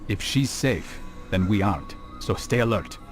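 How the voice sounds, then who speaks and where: An actor playing a sober, earnest male assassin in a video game, speaking in a measured, formal way. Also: lowish voice, animated, over loud music, close to an everyday voice. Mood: businesslike. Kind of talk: dramatic video game acting.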